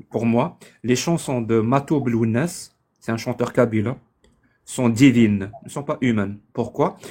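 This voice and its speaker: A man's voice: medium pitch, a little mellow, husky. A man on a live online debate, pressing his point with insistent, repeated questions.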